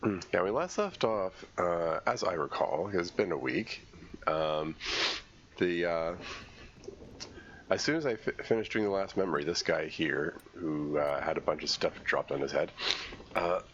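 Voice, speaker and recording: A man streaming a game, congested from a cold, commenting live and thinking aloud in a halting way as he works through puzzles. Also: low-pitched, expressive, a bit bright, lo-fi sound, a little hiss or hum.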